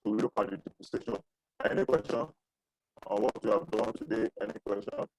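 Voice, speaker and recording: A man in his thirties teaching an accounting class over a video call, repeating himself and pausing often. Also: fairly low pitch, steady tone, a little mellow, dry recording, low-quality recording. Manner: repetitive, halting, asks for questions. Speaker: accounting lecturer. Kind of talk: online class lecture. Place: small indoor room. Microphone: webcam video call with earphones.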